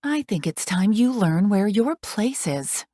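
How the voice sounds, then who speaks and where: A woman's voice: mid-range voice, big pitch swings, a little slow, warm tone, no echo, clean studio sound, pitched above the performer's own voice. A woman voicing a cruel, menacing woman caretaker who threatens a patient.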